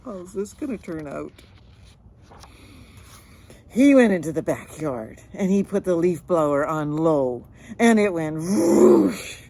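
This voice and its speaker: A woman reads a children's story aloud at a very unhurried pace. Her voice sits a little low, and she draws words out expressively, dropping in chatty asides about the pictures. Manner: expressive, drawn-out words and sound effects. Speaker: storyteller reading a picture book.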